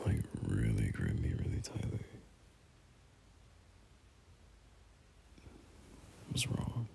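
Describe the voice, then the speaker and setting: A man playing a gentle, teasing young boyfriend in an intimate ASMR roleplay, speaking softly and breathily, often half-whispering in a hesitant, soothing way. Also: low voice, gravelly, breathy edge, full character voice. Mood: surprised, teasing. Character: gentle, teasing young boyfriend comforting his scared partner. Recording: faint background hiss.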